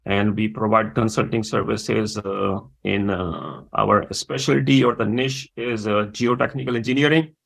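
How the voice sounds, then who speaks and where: Man, Urdu speaker, fry in the voice, hesitant delivery, interview guest. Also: fairly low pitch, steady tone, a bit bright, poor audio. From Pakistan. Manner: halting, many pauses and fillers. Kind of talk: interview conversation.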